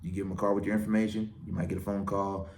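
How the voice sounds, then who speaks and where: A man's voice: sits low, level tone, rapid-fire, darkish tone. A man who works as a wedding and event DJ, hosting his own show and giving casual, confident advice with a strong, projecting voice. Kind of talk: casual advice talk to camera.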